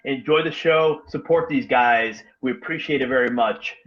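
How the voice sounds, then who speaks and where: Loud, punchy male promo announcer. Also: medium pitch, moderate pace, lo-fi sound. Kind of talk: hyped promo shout-out.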